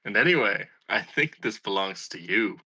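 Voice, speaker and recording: A man's voice: on the low side, animated, moderate pace, sharp tone, heavily acted. A man playing an ominous, cryptic bartender in a table read of a film script. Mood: insistent.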